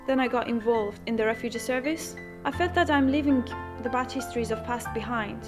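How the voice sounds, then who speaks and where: Young woman from iran, earnest and measured, music going underneath. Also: fairly high pitch. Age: young adult. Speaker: young asylum seeker telling her story. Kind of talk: personal narration.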